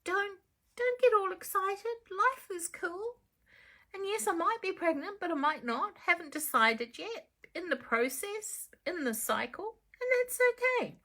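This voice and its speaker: Woman doing a gentle mother goddess, soft and soothing, high bright voice. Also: expressive, a little slow, full character voice.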